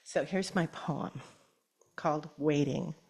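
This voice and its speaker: An older woman shares a personal story at a church gathering, gentle and given to soft laughter. Her voice sits a little low, and she takes her time, unhurried and deliberate. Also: steady tone, creaky, breathy edge. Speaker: church speaker. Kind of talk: personal story and poem reading.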